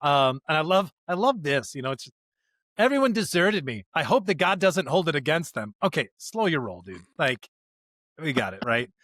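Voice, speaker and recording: A man co-hosting a podcast, casually discussing scripture in an informal, animated way with everyday slang and playful paraphrase. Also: fairly high pitch, moderate pace, no room sound. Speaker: podcast co-host.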